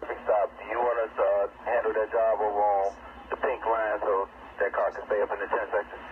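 A man's voice: fairly low pitch, flat delivery, normal speed. A male police officer talking in radio traffic. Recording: noisy phone-like police radio.

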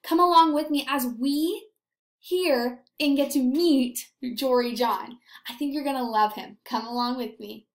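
High-pitched young woman teaching small children. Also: a little slow. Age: young adult. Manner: simple, encouraging, questioning. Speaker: elementary school teacher. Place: indoors, small apartment room. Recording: roomy home webcam sound.

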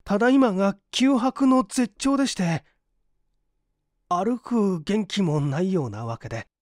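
A man's voice: high-pitched, lively intonation, unhurried, darkish tone, no echo, studio quality, voice pushed up. A desperate young man voiced by a male narrator in a storybook reading. He pleads humbly and apologetically, his raised voice rising and falling sharply. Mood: desperate, ashamed.

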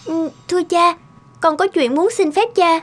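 A poor young village girl from a cartoon folk tale , played by a woman. Her voice is very high, with a polite, earnest manner, and music plays clearly behind her. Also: unhurried.

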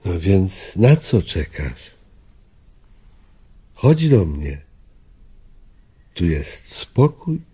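An actor playing a dead old friend in a radio drama, a husky older man who coaxes and lures theatrically. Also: low-pitched, sing-song, drawn-out words, pauses often, mellow, full character voice. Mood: coaxing, nostalgic. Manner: coaxing, seductive, theatrical. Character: dead old friend, a coaxing male ghost.

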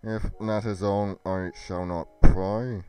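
A man's voice: fairly low pitch, steady tone, takes it slowly, dark tone, clean tone, faint music behind, rough recording. A man playing an earnest, inquisitive teenage male warrior in an amateur scripted roleplay.

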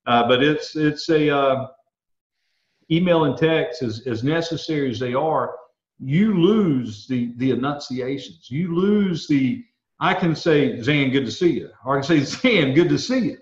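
A man co-hosting a casual chat, with a slightly high voice. He rambles through his stories with animated restarts. The recording is roomy and dull, with a lo-fi edge. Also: unhurried. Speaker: co-host.

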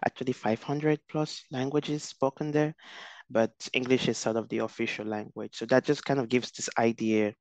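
A man's voice: medium pitch, steady tone, normal speed, a little mellow, no room sound. A young man from Nigeria, a researcher interviewed over a video call, speaking thoughtfully with many restarts and fillers. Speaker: guest researcher. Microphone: headset.

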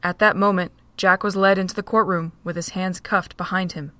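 A woman narrating a fan audio drama in a steady reading voice. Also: fairly low pitch, a little fast, no echo. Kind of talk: storybook narration.

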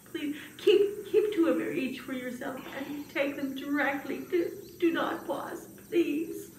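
A woman's voice: high voice, slow pace, darkish tone. A woman voicing a flustered, humble old man who stammers, frets and pleads in a puppet show. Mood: frantic, pleading. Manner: stammering, exclaiming, fretful. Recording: roomy, distant mic with background noise.

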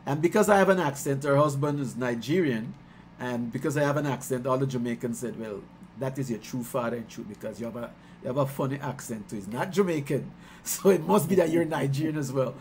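A middle-aged man from Guyana, a musician talking casually on a video call, warm and chatty, laughing often and getting excited as he tells stories. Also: medium pitch, fairly quick, slight background noise. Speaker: musician hosting his album release stream. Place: small room at home. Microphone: headset on a video call.

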